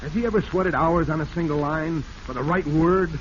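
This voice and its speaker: A man's voice: a little high, normal speed, natural voice. A man playing a bitter, struggling writer with an American accent, testy and emphatic in 1940s radio acting style. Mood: bitter, exasperated. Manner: testy, self-pitying, emphatic. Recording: muffled, noisy vintage radio recording.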